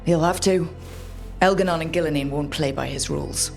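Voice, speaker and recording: An actress playing a curious, teasing young adventurer in a fantasy video game, speaking in a casual, dry way. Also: female voice, sits low, a little fast, vocal fry, darkish tone, faint music behind.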